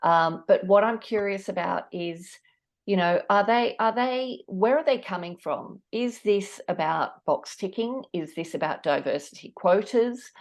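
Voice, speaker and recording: A woman's voice: mid-range voice, unhurried. A woman hosting a podcast, asking curious, encouraging questions. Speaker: podcast host. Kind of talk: interviewing.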